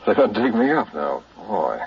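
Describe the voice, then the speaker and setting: An actor playing a frightened man trapped alive in a coffin, halting between muttering and desperate cries, in 1960s radio horror style. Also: on the low side, very animated, vocal fry. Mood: relieved then uneasy. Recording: dull, hissy vintage radio recording.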